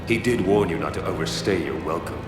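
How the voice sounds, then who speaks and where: An actor playing an arrogant, menacing assassin who taunts the enemy in a video game. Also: male voice, sits low, a little fast, natural voice. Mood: cold taunting. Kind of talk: scripted video game villain dialogue. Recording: very echoey, distant, loud music and gunfire.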